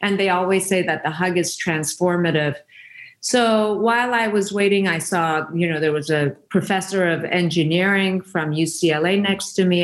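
A woman from Iran whose first language is Farsi, telling stories earnestly and reflectively as an interview guest, with frequent restarts. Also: fairly low pitch. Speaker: interview guest, founder of a women's giving circle. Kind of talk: conversational interview storytelling.

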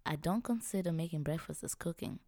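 A woman's voice: low-pitched, brisk, warm tone, no room sound, studio quality. A young woman, hosting a podcast and telling her own story in a reflective, personal way. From Tanzania. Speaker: podcast host.

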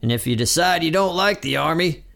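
A man voicing a gruff, hot-tempered army recruiting sergeant in a barking, commanding manner, as in a cartoon retelling. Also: fairly high pitch, expressive, fairly quick, fairly bright tone, faint music behind, pitched above the performer's own voice. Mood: persuasive.

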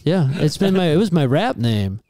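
A soft-spoken man talking casually as a podcast guest, hesitant and full of fillers, holding the mic close. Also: a little high, sing-song, normal speed, a loud crowd. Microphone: handheld mic held close.

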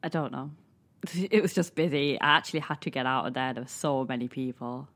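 A woman's voice: extremely low pitch, a little fast, a bit bright. A woman with a Manchester accent, co-hosting a podcast in casual chat and laughing often. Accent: Mancunian. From England. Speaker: podcast co-host.

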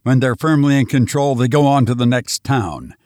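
A man's voice: medium pitch, moderate pace, darkish tone, dry recording, clean studio sound, lightly acted. A man voicing a frantic, exhausted man who has fled invaders, speaking breathlessly and urgently.

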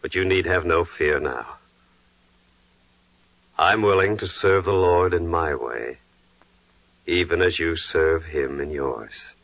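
Very deep, humble, devout carpenter. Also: male voice, a bit bright, exaggerated voice. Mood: earnest, devoted. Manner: earnest, reverent, measured. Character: humble, devout male carpenter, earnest and reverent. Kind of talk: 1940s radio drama acting. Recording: dull, echoey vintage radio recording.

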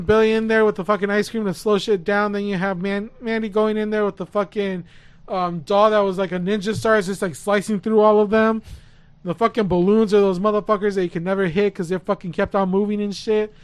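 A man's voice: sits high, steady tone, moderate pace, smooth, no echo. A man co-hosting a podcast in casual chat, hyped and slangy with heavy swearing. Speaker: podcast co-host.

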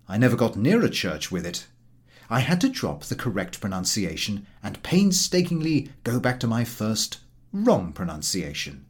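A man reading a comic first-person literary memoir as an audiobook narrator, in a theatrical, animated way. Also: fairly low pitch.

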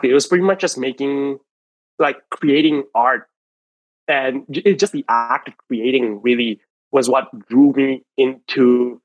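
A man's voice: mid-range voice, steady tone, a little slow, dry recording, muffled recording. Rambling young man, dry close sound. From Malaysia. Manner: loose and rambling, many likes and restarts. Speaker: podcast guest, artist. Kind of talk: casual storytelling interview. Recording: dry close mic, faint music behind.

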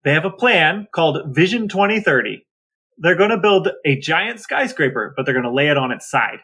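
Guy co-hosting a podcast, kinda raspy, highish voice, just chatting casually. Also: animated, moderate pace, sharp tone. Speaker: podcast co-host. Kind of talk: casual chat.

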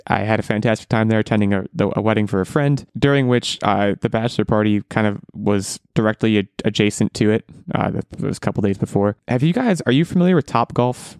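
A man hosting a podcast in casual chat, rambling and self-deprecating, his voice going hoarse. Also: lowish voice, fast, hardly any pauses, darkish tone, dry recording, clean studio sound. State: losing his voice. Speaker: podcast host.

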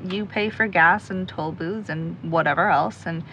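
A woman's voice: fairly low pitch, moderate pace, sharp tone, lo-fi sound. A woman playing an optimistic young searcher in an audio drama, upbeat and reassuring in a naturalistic style. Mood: upbeat, persuasive. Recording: clear background noise.

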